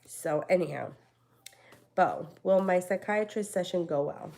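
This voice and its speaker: A woman's voice: lowish voice, lively intonation, a little slow, gravelly, darkish tone. A woman with a stuffy, congested voice giving casual tarot readings, talking in a rambling, chatty way full of fillers and restarts. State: stuffy from a cold. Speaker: tarot reader. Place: home.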